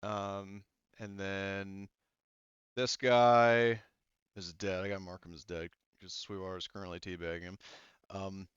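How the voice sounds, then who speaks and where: A man running a tabletop game as game master, pausing often with ums while he counts dice and tracks the turn. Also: low voice, level tone, clear, resonant tone, no echo, lo-fi sound. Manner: halting, many ums, counts dice aloud.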